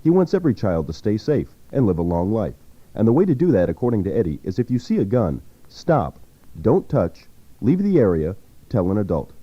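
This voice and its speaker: Male PSA reader, deep-ish and animated in pitch, scripted delivery, slightly lo-fi radio sound. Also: fairly quick, slightly rough. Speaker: public service announcer. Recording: dull lo-fi radio recording.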